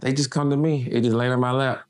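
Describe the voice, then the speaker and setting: A man's voice: mid-range voice, little pitch movement, fast. A man from Memphis, an artist manager chatting casually in an interview, laid-back and slangy with lots of fillers.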